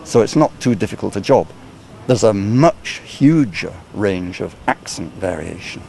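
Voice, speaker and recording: A man speaking as an academic guest, explaining in a measured way with frequent small hedges. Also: on the low side, expressive, creaky, dark tone, slight background noise. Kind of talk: expert explanation.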